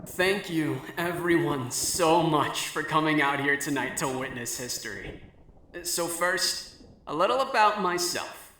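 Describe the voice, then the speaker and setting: A charming corporate bigwig in an audio drama, acted by a man. The voice is bright and a little high for a man, with smooth, formal, self-assured salesmanship. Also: expressive, unhurried, slight background noise, poor audio. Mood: public address. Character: charming, self-assured wealthy tech executive.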